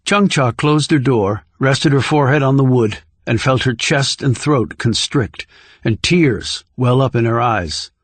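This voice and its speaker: A man's voice: medium pitch, measured pace, dry recording. Male thriller audiobook narrator, close and dry.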